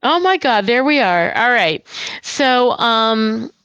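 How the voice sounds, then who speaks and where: A woman's voice: on the high side, expressive, slow pace, a bit bright, smooth, no room sound, highs cut off. A woman hosting a livestream in casual chat. She talks in a chatty, enthusiastic way. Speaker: livestream host.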